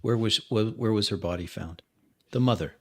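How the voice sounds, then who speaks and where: A man improvising in character as a tabletop roleplaying player, deliberately mulling over his phrases aloud. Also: lowish voice, fairly bright tone, slightly rough.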